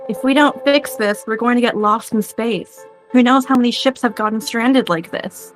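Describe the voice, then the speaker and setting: A woman's voice: a little high, normal speed, faint music behind, voice pushed up, natural voice. A woman voicing an alarmed, worried female spaceship crew member in an amateur game reading. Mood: alarmed, urgent.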